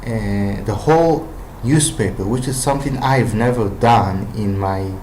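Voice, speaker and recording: A man's voice: fairly low pitch, a little slow, a little mellow, a little hiss or hum. A man with an Israeli accent and Hebrew as his first language, giving language-learning advice in a hesitant, casual monologue full of fillers and restarts. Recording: roomy echo.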